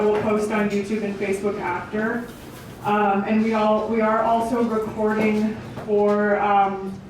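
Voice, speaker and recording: A woman hosting a public meeting, giving an informal welcome speech in a halting, unscripted way. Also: mid-range voice. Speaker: event host, organisation director. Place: public meeting in an echoey indoor venue. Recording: very echoey room, distant mic, faint noise.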